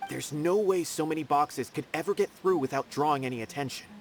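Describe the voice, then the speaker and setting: A man's voice: fairly high pitch, brisk, a little hiss or hum, close to an everyday voice. A man playing a loyal, earnest and polite young investigator in a video game. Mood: thoughtful, resolute. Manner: earnest, emphatic.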